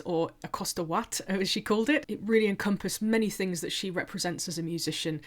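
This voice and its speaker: A woman hosting a podcast, introducing her guest enthusiastically and then interviewing her. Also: fairly low pitch. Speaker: podcast host.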